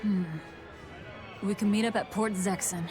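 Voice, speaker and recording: A woman's voice: mid-range voice, little pitch movement, measured pace, music in the background, natural voice. A woman playing a no-nonsense young fighter in an anime-style video game, blunt and sardonic. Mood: businesslike.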